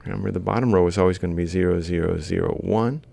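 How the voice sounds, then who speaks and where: A man's voice: low-pitched, quick pace, vocal fry, dark tone. A man lecturing informally, explaining a worked example step by step and thinking aloud as he writes. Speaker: university lecturer.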